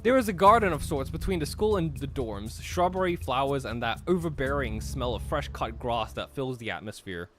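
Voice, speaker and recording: A man's voice: mid-range voice, lively intonation, normal speed, hardly any pauses, faint music behind. A man reading a visual novel's narration aloud on a casual game stream and bantering between passages.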